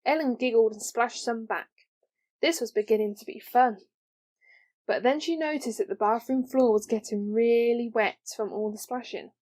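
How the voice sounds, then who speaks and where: A woman's voice: a little high, normal speed, a little mellow. A woman reading a children's story as an audiobook narrator.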